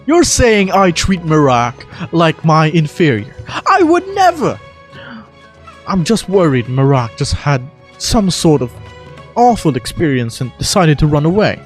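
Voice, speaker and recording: Man acting out a theatrical, high-voiced excitable young architect. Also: measured pace, soft background music. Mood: indignant. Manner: theatrical, emphatic. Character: excitable, dramatic young male architect, indignant and earnest.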